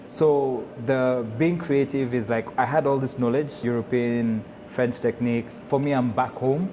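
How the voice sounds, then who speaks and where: Male, Kenyan accent, mid-pitched, relaxed conversational interview delivery as a chef, noticeable background noise. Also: measured pace. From Nairobi, Kenya. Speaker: chef and restaurant owner.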